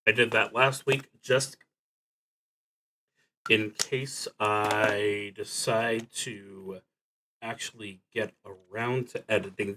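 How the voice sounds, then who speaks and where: A man hosting a solo role-playing livestream, talking casually and haltingly with long pauses and a wry, self-deprecating streak. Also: on the high side, a bit bright, rough recording. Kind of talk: casual livestream talk introducing a game.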